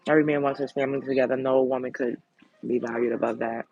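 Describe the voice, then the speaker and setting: A woman hosting a casual livestream chat, reading out comments in a candid, blunt way, with a deep, sultry voice. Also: steady tone, fast talker, a little mellow, husky, dull audio. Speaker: host.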